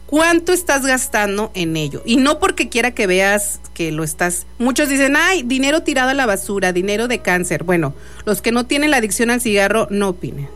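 A woman's voice: fairly high pitch, very animated, fairly quick, hardly any pauses, a bit bright, soft background music. A woman hosting live radio, chatting casually and wandering off into asides. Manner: chatty, digressive. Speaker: radio host.

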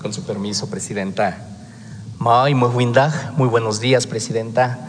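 A man's voice: mid-range voice, steady tone, moderate pace, a little hiss or hum. Formal male presenter, native Mixe speaker, ceremonious delivery, hall reverb. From Mixe region of Oaxaca, Mexico. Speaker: segment presenter.

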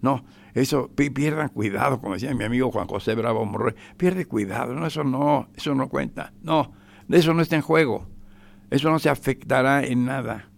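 A man's voice: mid-range voice, normal speed, creaky. A man with a Mexican accent giving sarcastic radio commentary, emphatic and repetitive, sometimes dropping to a whisper.

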